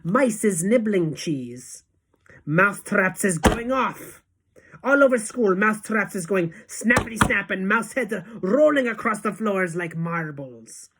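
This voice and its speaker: A woman doing a gloating, shouting old witch queen. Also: medium pitch, animated, measured pace, noisy background. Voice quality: broken grammar, theatrical. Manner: shouting, gloating, sing-song rhymes. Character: gloating villainous old female witch leader.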